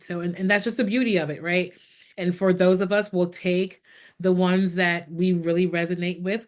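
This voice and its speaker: A woman hosting a podcast who closes the episode in a gracious, encouraging, conversational way. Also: on the low side. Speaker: podcast host closing the episode. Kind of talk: casual wrap-up.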